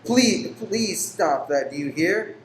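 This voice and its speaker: An actor in a radio play playing a weary, pious, secretive lodger who is formal, exacting and easily angered. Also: male voice, high voice, lively intonation, takes it slowly. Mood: sharp, stern. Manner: formal, exacting, abrupt then apologetic. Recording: roomy echo with faint background noise.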